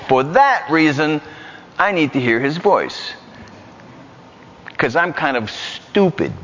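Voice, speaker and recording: A man with a New York accent preaching a lively, joking sermon in a church. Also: a little high, slight background noise. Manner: animated, joking, repetitive. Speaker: guest preacher. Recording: muffled church hall recording.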